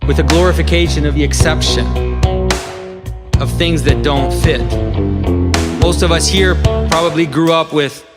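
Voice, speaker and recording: A man giving a recorded public talk in a measured, deliberate way. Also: on the high side. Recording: speech over loud guitar music. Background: loud guitar music.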